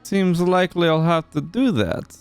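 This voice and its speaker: A man playing a suave, formal vampire lord with courteous, theatrical, deliberate speech in a Minecraft roleplay. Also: high voice, a little mellow, clean tone, soft background music. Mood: dryly irritated.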